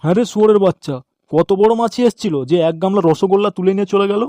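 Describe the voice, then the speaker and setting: Irascible stingy shop owner, male actor, high, rapid, exasperated cartoon scolding over background noise. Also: music in the background, close to an everyday voice. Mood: furious exasperation. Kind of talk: Bengali comedy cartoon voice acting.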